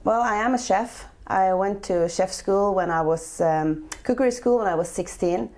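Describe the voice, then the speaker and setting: Norwegian-accented woman, mellow voice. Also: medium pitch, moderate pace. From Norway. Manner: hesitant, many filler sounds. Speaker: guest, chef and entrepreneur. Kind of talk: interview answers.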